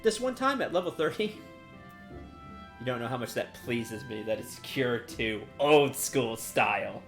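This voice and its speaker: A man giving casual live commentary as a video game streamer, halting, rambling and amused. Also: mid-range voice, expressive, gravelly, fairly bright tone, soft background music.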